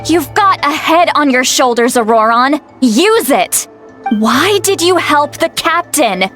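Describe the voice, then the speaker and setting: A woman playing a feisty, sharp-tongued granny in a video game, youthful-sounding, sassy and exasperated. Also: high voice, expressive, takes it slowly, soft background music, exaggerated voice. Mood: stern, frustrated.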